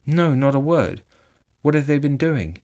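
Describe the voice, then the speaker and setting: A man's voice: on the low side, steady tone, moderate pace, muffled recording, lightly acted. A man reading aloud and voicing a conceited, boastful male toad who turns humble and fretful. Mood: alarmed. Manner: humble, then fretful and pleading.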